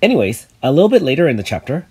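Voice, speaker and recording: A man with a slightly raspy voice from illness, chatting casually over game footage as a YouTube host, with many fillers. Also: fairly high pitch, sing-song, rapid-fire, a little mellow, faint music behind. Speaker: gaming YouTuber.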